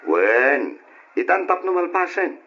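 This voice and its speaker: Earnest young Ilocano man, bright voice, old radio drama, muffled lo-fi sound. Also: a little high, sing-song, slow pace, vocal fry, exaggerated voice. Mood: easygoing, affectionate. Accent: Filipino, Ilocano. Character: earnest, easygoing young man, a handy repairman. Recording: dull lo-fi radio recording.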